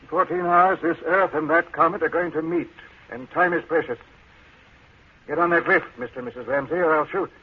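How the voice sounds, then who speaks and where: An actor playing an old, desperate rural American miner in a vintage radio drama, with folksy, clipped speech. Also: male voice, fairly high pitch, fairly even intonation, fairly quick, husky. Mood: urgent, threatening. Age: older. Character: old rustic miner turned desperate gunman. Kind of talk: 1950s radio drama acting. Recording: vintage radio recording, tinny narrow band.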